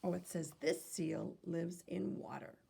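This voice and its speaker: A woman reading aloud to children like a teacher, in an instructive manner, pausing to pose questions to young listeners. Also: fairly low pitch, fairly even intonation, gravelly, warm tone, breathy edge.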